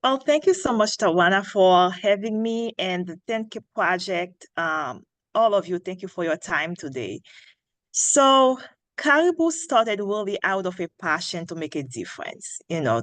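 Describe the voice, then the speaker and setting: A woman's voice: medium pitch, expressive, unhurried, no room sound. A woman in her thirties from Haiti, a founder telling her story earnestly on a video call, a little under the weather. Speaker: founder pitching her coffee brand. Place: small indoor room.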